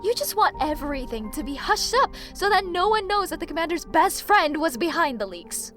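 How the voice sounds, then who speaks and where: A woman's voice: extremely high pitch, sing-song, normal speed, fairly bright tone, faint music behind, full character voice. A woman playing an indignant, idealistic rebel in an amateur anime-style audio drama.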